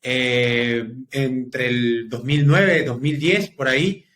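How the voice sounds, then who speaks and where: A man's voice: medium pitch, steady tone, a bit bright, a little hiss or hum. A man, a guest on a podcast, reflecting on his past in an easy conversational way. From Peru. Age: 30s. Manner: reflective, talks in long unhurried stretches. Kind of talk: casual interview storytelling.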